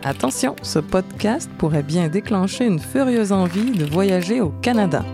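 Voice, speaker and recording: A woman from Montreal with a Québécois accent, co-hosting a travel podcast in a lively, folksy way. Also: medium pitch, moderate pace, over music. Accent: Québécois French. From Montreal, Quebec. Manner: lively, folksy Quebec expressions. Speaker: podcast co-host. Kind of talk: scripted travel podcast talk.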